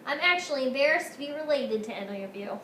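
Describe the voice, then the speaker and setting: A woman's voice: high voice, animated, fast talker, pushed and bright, reverberant, a little hiss or hum. A woman voicing a stern, exasperated mother bear in a storybook, firm and scolding but restrained. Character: stern, exasperated mother bear correcting her children's manners.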